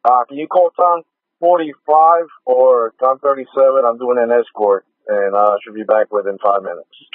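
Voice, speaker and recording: A man's voice: mid-range voice, steady tone, moderate pace, dry recording. Man, police officer, casual, dry sound, tinny scanner radio.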